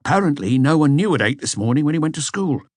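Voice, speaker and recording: A man's voice: a little high, expressive, quick pace, darkish tone, no echo, studio quality, voice pushed up. A man voicing a hesitant, deferential police inspector giving a report in an audiobook. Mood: matter-of-fact, hesitant. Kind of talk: audiobook character dialogue.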